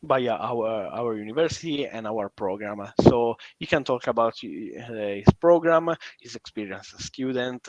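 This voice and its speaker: A man giving a halting, informal live presentation as a university representative, on dull online call audio. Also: mid-range voice, no echo.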